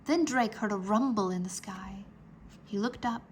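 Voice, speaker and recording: A woman narrating a children's storybook aloud in a deliberate, dramatized way. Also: medium pitch, darkish tone, husky.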